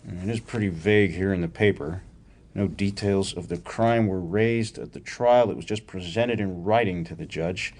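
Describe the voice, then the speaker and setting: An actor playing a wry, probing academic research professor in a radio drama, with a dryly sardonic, precise way of talking. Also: male voice, deep voice, moderate pace, a little mellow. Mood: probing, persuasive. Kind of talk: radio theatre acting, dramatic dialogue.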